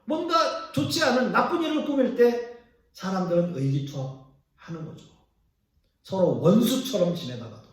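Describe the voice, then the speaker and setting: A male pastor preaching a sermon, explaining scripture and putting questions to the congregation. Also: extremely high pitch. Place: church sanctuary. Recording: echoey church hall from far off.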